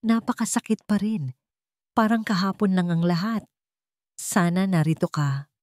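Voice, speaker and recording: A woman's voice: mid-range voice, moderate pace, a little mellow, no room sound, close to an everyday voice. A tender, distraught young woman from a romantic audio drama, as a woman narrator plays her. She speaks with pleading emotion, in a very dry recording. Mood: grieving.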